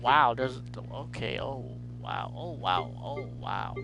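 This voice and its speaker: A man's voice: medium pitch, big pitch swings, slow pace, gravelly, over music. A man doing casual live gameplay commentary as a streamer, muttering to himself and repeating his phrases. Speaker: game streamer.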